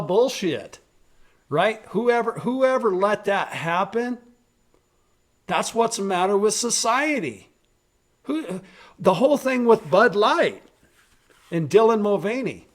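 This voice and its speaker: Man, podcast host, blunt, forceful, swearing, high-ish voice. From rural western United States, raised on a ranch. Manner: blunt, forceful, swears freely, raises voice to make a point. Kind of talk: opinionated political talk.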